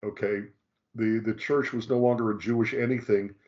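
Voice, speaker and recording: A man's voice: fairly low pitch, steady tone, normal speed, a little mellow, muffled recording. A man appearing as a rabbi guest, explaining emphatically and repeating his key phrases. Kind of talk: explanatory discussion.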